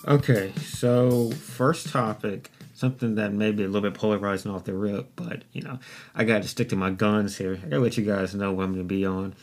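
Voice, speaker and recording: A young man with a somewhat low voice hosts his own podcast alone, speaking into a desktop microphone at home. He rambles freely, swears often and pokes fun at himself in a loose, casual way. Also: steady tone, brisk, soft background music. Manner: rambling, profane, self-deprecating. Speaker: podcast host. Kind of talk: casual solo podcast monologue. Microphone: desktop USB microphone.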